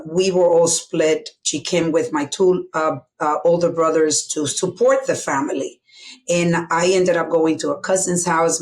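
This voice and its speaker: A middle-aged woman from the Dominican Republic with a Dominican Spanish accent and a lowish voice. She is an interview guest, open and reflective, with frequent fillers as she tells her story. A faint low rumble sits under the recording. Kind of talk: candid personal storytelling.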